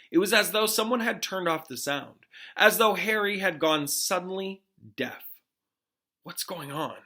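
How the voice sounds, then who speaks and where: Male teacher for dramatic read-aloud: bright, forceful, slightly high voice, suspenseful delivery. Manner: expressive, suspenseful, pauses to question listeners. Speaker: teacher reading aloud to students.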